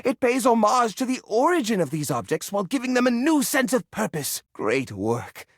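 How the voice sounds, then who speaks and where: Game role: living junk drawer, quirky earnest hoarder, highly animated delivery, dry studio sound. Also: male voice, normal speed, no echo, heavily acted. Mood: delighted, inspired. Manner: expressive, earnest, fussy musing. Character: quirky, sentimental male junk drawer personified, fussy hoarder. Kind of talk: video game character acting.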